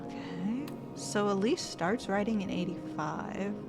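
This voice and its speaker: A young woman talks through a puzzle game as she plays, musing to herself and reading passages aloud. Her pitch is mid-range and her tone conversational. Game music plays clearly under her. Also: measured pace. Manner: thinks aloud, reads game text aloud. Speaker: streamer playing a game. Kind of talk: casual gameplay commentary.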